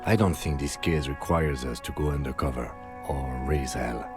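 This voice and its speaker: An actor playing a calm, dry police lieutenant in a game, measured and deadpan. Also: male voice, rumbling low. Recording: music behind the voice.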